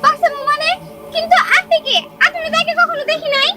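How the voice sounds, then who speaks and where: A man voicing a timid young villager who talks in a squeaky, fearful way. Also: fairly even intonation, fast talker. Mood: scared. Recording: echoey, distant, noisy outdoors.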